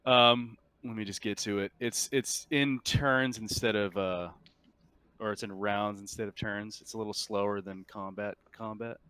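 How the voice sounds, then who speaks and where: A man's voice: lowish voice, steady tone, normal speed, pushed and bright, clear, resonant tone. A man running a tabletop game as game master, explaining rules casually and thinking aloud as he goes.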